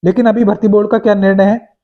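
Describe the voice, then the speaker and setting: A man's voice: high-pitched, flat delivery, quick pace. A man explaining exam news to viewers informally, repeating himself and mixing in many English words. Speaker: host of exam news updates for job candidates. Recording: dull, muffled lo-fi sound.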